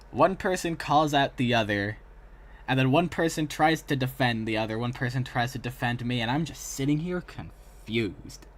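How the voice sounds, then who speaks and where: A young man talks casually on a livestream. His voice sits at an average pitch, and he rambles in a chatty way as he reacts and addresses his chat. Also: measured pace. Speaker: live streamer reacting to videos.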